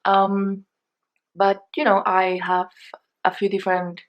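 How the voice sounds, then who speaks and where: A woman's voice: medium pitch, monotone, slow, dull audio. A young woman from Mexico, a Spanish native speaker with a Mexican accent, chatting casually through a shopping haul, rambling and hesitant, with many fillers and restarts. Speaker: beauty vlogger.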